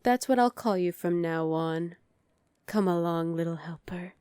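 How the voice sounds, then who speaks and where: A woman's voice: fairly low pitch, unhurried, darkish tone, clear, resonant tone, no echo, clean studio sound, lightly acted. A woman voicing a dominant, haughty evil queen in an ASMR roleplay, imperious, sly and condescending. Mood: playful, possessive.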